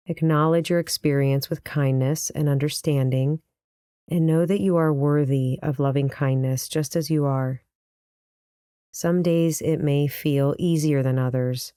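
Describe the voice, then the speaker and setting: A woman leading a guided meditation as a teacher, speaking in a gentle, soothing, unhurried manner. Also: low-pitched, clean tone, dry recording, studio quality.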